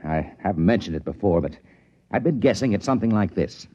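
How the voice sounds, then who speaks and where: Shrewd trader boss, lively deep-ish voice. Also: male voice, brisk, breathy edge. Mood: shrewd, scheming. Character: shrewd, wary male trading-post boss. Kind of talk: 1950s radio drama acting. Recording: muffled old radio recording.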